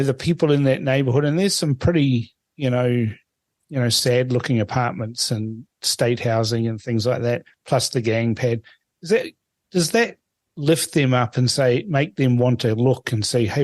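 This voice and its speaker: A man's voice: medium pitch, darkish tone, dry recording. A man from Fiji hosting a radio interview, asking long, chatty, rambling questions. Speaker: radio host.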